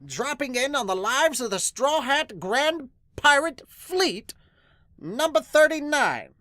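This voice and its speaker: A podcaster acts out a grand, theatrical announcer for a manga dub, pitched high and emphatic. The delivery is bold and showy, with animated swings, in a dry recording. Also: no room sound, exaggerated voice. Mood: grand, excited. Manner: grandiose, emphatic, drawn-out words.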